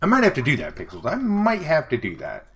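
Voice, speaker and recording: A middle-aged man with a deep voice, chatting casually on a livestream as he draws and answers chat. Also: brisk, husky, soft background music. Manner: relaxed, rambling, reads and answers chat. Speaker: livestreaming vector artist. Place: home studio desk.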